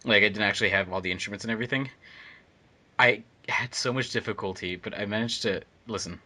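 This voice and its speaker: A man with a fairly low but bright, forceful voice. He chats casually, as an editor would on a commentary track. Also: fast. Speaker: fandub audio editor.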